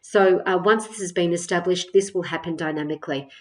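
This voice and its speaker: A woman presenting a software tutorial as a step-by-step walkthrough, explaining in a measured way with frequent hesitations. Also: lowish voice, fairly even intonation. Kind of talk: screen-share product walkthrough, semi-scripted narration.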